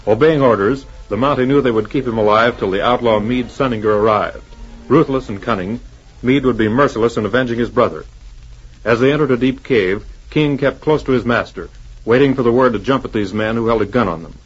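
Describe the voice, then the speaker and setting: A man's voice: medium pitch, a little fast, breathy edge. A man narrating an adventure serial in dramatic, scripted 1940s radio style. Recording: muffled 1940s radio recording. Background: music.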